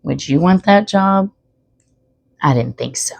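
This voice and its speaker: A woman's voice: lowish voice, lively intonation, unhurried, creaky, darkish tone, no echo, lo-fi sound. A woman storyteller reading aloud expressively, with chatty asides to the listener. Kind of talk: expressive read-aloud narration.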